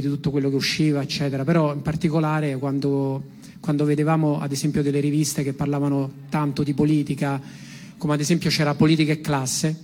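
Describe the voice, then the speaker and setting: A man, sharing personal memories in a colloquial, halting way, searching for words. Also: a little high, a little mellow. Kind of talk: informal personal recollection.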